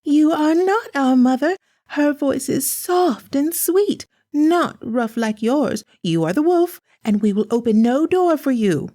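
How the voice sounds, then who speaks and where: Small anxious goslings in a storybook, very high squeaky voices, lively pitch swings; a woman reads them. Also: a little slow, dry recording, studio quality. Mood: pleading. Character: frightened little goslings, high and pleading.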